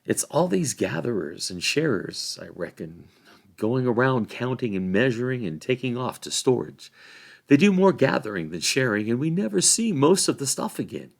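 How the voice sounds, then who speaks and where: A man's voice: medium pitch, big pitch swings, normal speed, vocal fry, pitched above the performer's own voice. A man voicing an old, deferential hobbit gatekeeper with folksy rural speech. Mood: grumbling. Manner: folksy rural grammar, apologetic.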